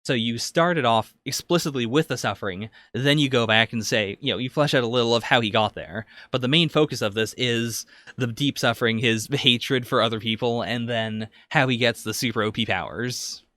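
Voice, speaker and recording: A man's voice: mid-range voice, normal speed, hardly any pauses, a bit bright, no room sound. A man co-hosting a podcast, casually discussing anime and thinking aloud as he analyses it. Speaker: podcast co-host.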